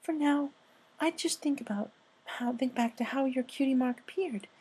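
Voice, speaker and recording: A man voicing a gentle, caring female pegasus pony, speaking in a quiet, tentative and kindly way for a fan story. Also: very high voice, moderate pace, breathy edge, pitched above the performer's own voice. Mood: encouraging. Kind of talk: character voice in fan fiction reading.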